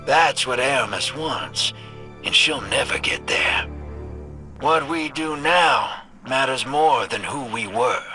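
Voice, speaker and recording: An actor playing a cynical but kindly streetwise rogue in a video game, casual, teasing and folksy. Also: male voice, mid-range voice, slow pace, sharp tone, soft background music. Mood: teasing, sincere. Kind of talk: video game cutscene acting.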